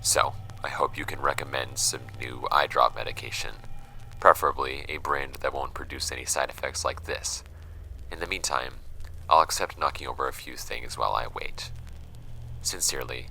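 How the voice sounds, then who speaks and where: An actor playing a weary, wry amateur investigator with a very deep, gravelly voice, reading case files aloud in an audio drama in a measured, brooding way. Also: male voice, steady tone, bright, forceful tone, slight background noise, exaggerated voice, low-quality recording. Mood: brooding, uneasy.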